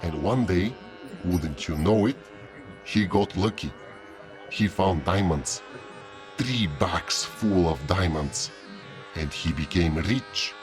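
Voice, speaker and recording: An actor playing a gossipy tavern keeper who tells stories in a folksy, unhurried way in an audio drama. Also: male voice, deep voice. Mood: grave storytelling. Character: gossipy male innkeeper at a moon tavern. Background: music.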